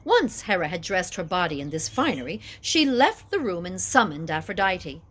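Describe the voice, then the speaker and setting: A woman reading epic poetry aloud as dramatic narration, with deliberate, expressive phrasing. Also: lowish voice. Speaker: reader of an epic poem.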